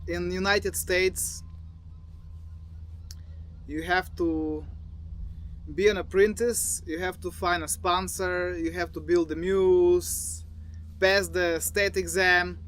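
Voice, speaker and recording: A man in his thirties from Bulgaria with a Bulgarian accent, a falconer talking casually outdoors in a halting way with restarts. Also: sits high, steady tone, a bit bright, slight background noise. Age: 30s. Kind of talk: casual recorded monologue. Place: outdoors in the desert. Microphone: phone.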